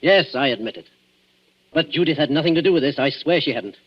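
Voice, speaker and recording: A man's voice: on the high side, expressive, a little fast. An actor playing a nervous, guilty man, stammering and defensive, in a 1940s radio thriller. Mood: resigned. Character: nervous, guilty man shielding the woman he loves. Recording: dull 1940s radio broadcast.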